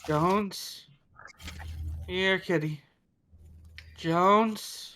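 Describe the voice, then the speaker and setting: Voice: mid-range voice, very slow, a bit bright. A performer playing a weary spaceship crew member calling out, on a noisy, clicky recording. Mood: coaxing.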